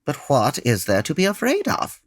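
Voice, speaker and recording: A man playing a logical, formal gentleman detective with theatrical, proper diction in a comedic audio drama. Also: on the high side, huge pitch swings, normal speed, no room sound. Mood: polite. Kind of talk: comedic old-time radio drama acting.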